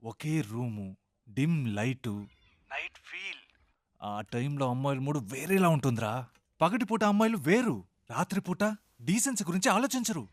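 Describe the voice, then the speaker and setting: A man's voice: a little high, very animated, moderate pace, long pauses, no room sound. A man acting a cheeky, sleazy young buddy in comic film dialogue. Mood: sly, suggestive.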